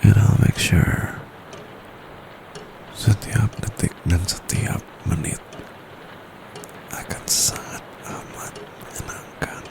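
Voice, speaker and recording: A man's voice: fairly even intonation, full character voice, poor audio. A man with an Indonesian accent playing a seductive, dominant private tutor in an intimate ASMR roleplay, murmuring and whispering smugly close to the microphone. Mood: seductive, coaxing. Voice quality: very deep, gravelly, breathy murmur. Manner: slow, teasing, drawn-out delivery slipping into whispers. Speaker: amateur voice actor. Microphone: close condenser microphone. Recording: close mic with clear background noise.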